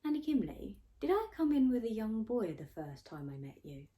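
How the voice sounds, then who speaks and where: A woman's voice: fairly high pitch, sing-song, normal speed, mellow, voice pushed up. A woman voicing a stubborn, earnest sixteen-year-old girl in a storybook, sulky and protesting. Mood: softer, earnest. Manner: protesting, mumbling.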